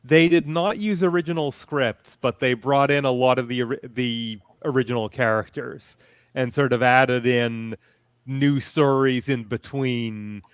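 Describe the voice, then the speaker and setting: A man on a casual fan panel, explaining things hesitantly with frequent pauses to find his words. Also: mid-range voice, steady tone, smooth, dry recording.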